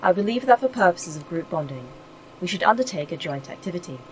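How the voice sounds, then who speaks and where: An actress playing a polite, literal-minded artificial intelligence in a sci-fi audio drama, formal and deadpan. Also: female voice, fairly low pitch, fast, slight background noise, close to an everyday voice, low-quality recording. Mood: formal, earnest. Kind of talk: audio fiction acting.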